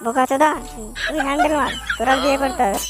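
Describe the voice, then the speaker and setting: Performer doing brash, high-pitched cartoon village lad. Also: steady tone, rapid-fire, close to an everyday voice. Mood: mocking, irritated. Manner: brash, mocking, slangy. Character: crude, quarrelsome young village men in a comic cartoon. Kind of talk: comic cartoon dubbing, foul-mouthed banter.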